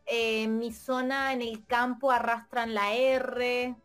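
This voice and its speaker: A Spanish teacher from Argentina, chatting casually in an interview and thinking aloud with many fillers and restarts. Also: female voice, on the high side, flat delivery, takes it slowly, a bit bright, faint music behind. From Argentina, Mendoza. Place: lives in Europe.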